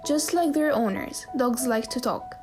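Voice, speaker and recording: A woman reading a scripted voice-over narration in an even, read-out way. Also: high voice, measured pace, over music.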